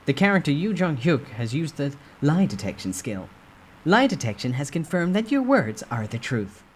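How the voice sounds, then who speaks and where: Casting: game system notifier, acted by a man, higher voice, formal and impersonal, faint noise behind. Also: sing-song, moderate pace. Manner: formal announcing.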